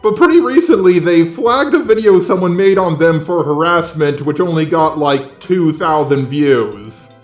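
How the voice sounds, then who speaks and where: A man's voice: on the high side, a little slow, few pauses, echoey room, faint music behind. A man giving casual, opinionated drama commentary in a blunt, conversational way with swearing. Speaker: commentary channel host.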